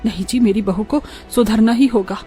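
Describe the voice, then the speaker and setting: A strict, old-fashioned mother-in-law in a cartoon, scolding sternly in a fairly high voice, as a woman voices her. Soft music plays underneath. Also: moderate pace, natural voice. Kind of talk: cartoon voice acting.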